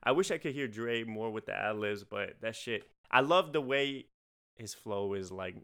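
Man, smooth clear voice, podcast co-host, excitable, casual chat. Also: on the low side, moderate pace. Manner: excitable, insistent, profane. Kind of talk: casual music review chat.

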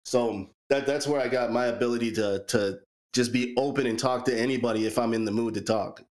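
A man in his forties co-hosting a podcast, telling personal stories in a relaxed, rambling way with frequent repeated words. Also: mid-range voice, a little fast. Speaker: podcast co-host. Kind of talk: casual chat, personal storytelling.

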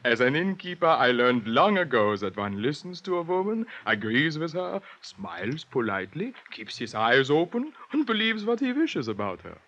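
An actor playing a genial, worldly innkeeper with a German accent, courteous and knowing, in 1950s radio style. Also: male voice, on the high side, very animated, normal speed, few pauses, fairly bright tone, full character voice. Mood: amused, knowing. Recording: dull 1950s radio recording.

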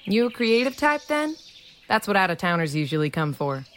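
A woman plays a nosy small-town woman in an audio drama. Her medium-pitched voice is blunt and teasing, questioning strangers with open skepticism. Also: very animated, a little fast, a little hiss or hum, lightly acted. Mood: curious, probing. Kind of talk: naturalistic audio drama dialogue.

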